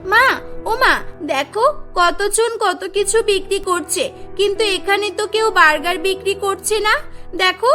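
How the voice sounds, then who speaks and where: A woman's voice: very high-pitched, a little slow, pushed and bright, faint music behind, exaggerated voice. A woman voicing an innocent, sweet and earnest little girl in a cartoon story. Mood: eager. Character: innocent, clever little girl, loving daughter.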